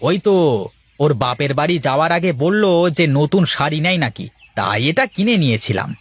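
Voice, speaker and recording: Man voicing a high-pitched grumbling village potter. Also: very animated, brisk, no echo. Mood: pleased. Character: grumbling village potter, long-suffering husband. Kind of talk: moral folk-tale cartoon voice acting.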